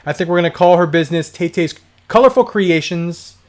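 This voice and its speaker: A man hosting a casual livestream from home, chatting and reading out viewer comments, with a voice going hoarse from a dry throat. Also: fairly high pitch, fairly quick. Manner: chatty, rambling, laughs often. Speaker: livestream host.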